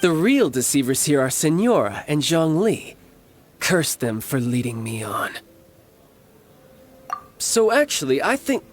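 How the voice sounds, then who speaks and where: A breezy, cocky young villain in a video game. His slightly high voice swings up and down as he teases with glib, theatrical friendliness. Faint music plays behind him. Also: very animated, unhurried, long pauses. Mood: aggrieved.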